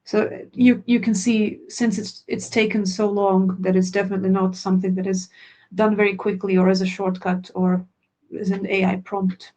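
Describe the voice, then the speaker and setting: A woman's voice: mid-range voice, little pitch movement, normal speed, dark tone. A woman whose first language is Croatian, a language teacher answering questions in a webinar in an earnest, plain way. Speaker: language teacher and product founder.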